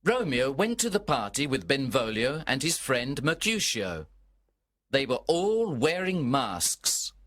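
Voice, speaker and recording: A man narrating a storybook for learners from a script, in a measured, deliberate way. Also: medium pitch, very animated, a bit bright.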